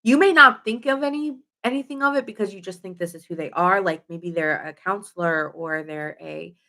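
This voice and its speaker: A woman's voice: fairly low pitch, moderate pace, pushed and bright. A woman doing a casual live tarot reading, chatty and rambling with fillers and self-corrections, her nose stuffy. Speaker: tarot reader.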